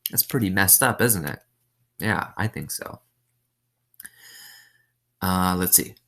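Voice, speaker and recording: Male storyteller, low register, reads a children's novel with simple explanatory asides and questions. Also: expressive, slow, fairly bright tone.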